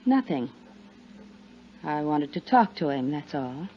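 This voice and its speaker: A woman's voice: deep voice, big pitch swings, slow, exaggerated voice. A woman playing a polite, guarded young captain's daughter in 1950s radio drama style. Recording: dull 1950s radio recording.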